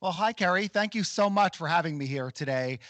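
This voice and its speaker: An enthusiastic man gives a promotional talk on a live webcast. His voice sits a bit high for a man and is recorded close and dry. He speaks with steady, eager conviction. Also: fairly quick, dry recording. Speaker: university vice president.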